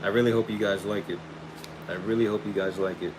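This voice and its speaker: A man hosting a casual livestream and pitching his own hair product, upbeat and friendly, saying he is losing his voice. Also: brisk. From United States. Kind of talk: casual livestream chat, reading viewer questions. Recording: steady air conditioning hum behind.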